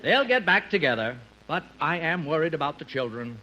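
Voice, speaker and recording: A man's voice: a little high, sing-song, a little fast, sharp tone. A man acting as an earnest, officious office professional in a 1950s radio comedy, speaking in an emphatic, mock-solemn way. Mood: reassuring. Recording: muffled old radio recording, hum and hiss.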